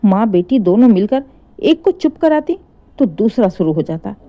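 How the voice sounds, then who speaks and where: A woman's voice: medium pitch, big pitch swings, normal speed, mellow. A woman narrating a scripted emotional family story as a storyteller.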